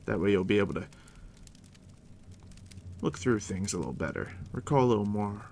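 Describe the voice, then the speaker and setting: A man doing a soft, deep-whispered ASMR roleplay as a game master, unhurried and reassuring, speaking directly to the listener up close. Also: lively intonation, slight background noise. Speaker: game master guiding a new player.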